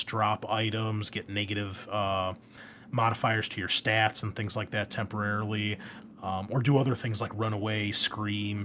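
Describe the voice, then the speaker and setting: Low-voiced man explaining, noisy recording. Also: a bit bright. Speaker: host and game master. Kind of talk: explanatory tabletop game rules talk. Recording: noticeable background noise.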